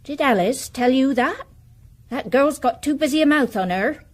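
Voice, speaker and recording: An actress playing a middle-aged working-class former housekeeper in a period radio drama, guarded, inquisitive and plain-spoken. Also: female voice, high-pitched, expressive, moderate pace.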